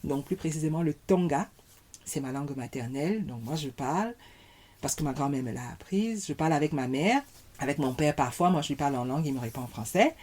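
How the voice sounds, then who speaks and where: A woman, a podcast guest speaking in a thoughtful, halting way as she searches for words. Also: sits low, expressive, darkish tone. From Cameroon. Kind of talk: casual conversation.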